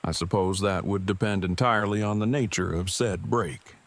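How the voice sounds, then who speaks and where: Audio drama role: awkward, verbose male survivor played by a man, low register, stiff formal delivery. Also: normal speed. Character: nervous, wordy, formal-spoken adult male apocalypse survivor.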